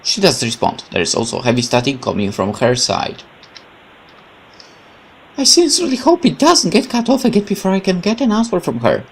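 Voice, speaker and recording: A gaming YouTuber reads visual novel text aloud and comments on it. The delivery is expressive, with very lively intonation, breaking off to exclaim and question the story. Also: medium pitch, normal speed, a little hiss or hum. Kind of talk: let's play commentary, reading game text aloud.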